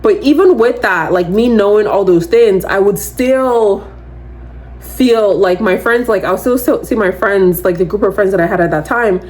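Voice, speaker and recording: A young woman in her twenties with a naturally loud, mid-pitched voice, talking straight to her listeners as a podcast host. She rambles casually, fills her speech with "like", and keeps breaking into lively asides. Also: normal speed, low-quality recording. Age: 20s. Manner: chatty, rambling, heavy use of "like"; animated, slips into quoting others. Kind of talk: casual solo chat to camera.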